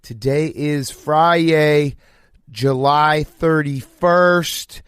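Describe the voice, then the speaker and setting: A man's voice: on the high side, very slow, fairly bright tone, clear, resonant tone, dry recording, studio quality. A sleep-deprived man hosting a podcast with casual, blunt, slangy sports banter and joking. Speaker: podcast host.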